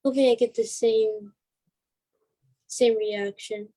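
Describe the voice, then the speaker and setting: A woman's voice: a little high, steady tone, slow, mellow. A shy woman chatting casually as an interview guest, with a hesitant way of talking. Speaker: interview guest, stage actress. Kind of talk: casual chat.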